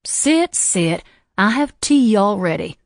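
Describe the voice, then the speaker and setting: A woman voicing a chatty, doting elderly woman who talks slowly and tenderly, in an audiobook. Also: medium pitch, very animated, no room sound, studio quality, pitched above the performer's own voice. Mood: sweet, doting. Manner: slow, lilting and motherly.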